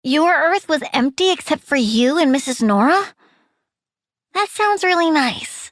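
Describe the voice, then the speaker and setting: Tiny super high girly plant villain for a game, bubbly n vicious, bratty, voice swings all over. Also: expressive, measured pace, fairly bright tone, no echo, studio quality. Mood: chatty, curious. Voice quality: small girlish voice. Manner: petulant, dramatic, bluntly odd. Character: childlike young plant-powered villain girl, bubbly and vicious. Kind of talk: video game character acting.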